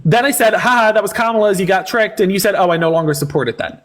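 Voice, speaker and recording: A man's voice: sits high, animated, quick pace, fairly bright tone. A man hosting a livestream debate, talking in a sarcastic, combative and theatrical way.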